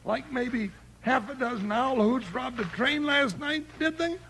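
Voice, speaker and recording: A man playing an excitable, folksy, stammering cowboy sidekick in a 1950s western radio show. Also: very high-pitched, measured pace, exaggerated voice. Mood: eager, excitable. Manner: stammering, folksy frontier talk. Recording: faintly noisy 1950s radio recording.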